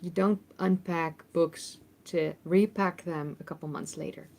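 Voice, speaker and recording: A Dutch-accented woman in her thirties with a slightly low voice, answering viewer questions on a relaxed livestream. She talks in a chatty, thinking-aloud way, full of ums and restarts. She is recorded on a phone microphone in an ordinary room at home. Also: a little slow, gravelly, slight background noise. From Netherlands. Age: 30s. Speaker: history YouTuber and host. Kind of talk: casual livestream Q&A, reading chat questions. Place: temporary room in a family home.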